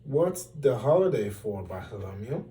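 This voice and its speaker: A man's voice: on the low side, very animated, moderate pace, mellow, recorded from a distance. A man voicing a puzzled, fretful old bell ringer in a storybook, murmuring and gulping in alarm.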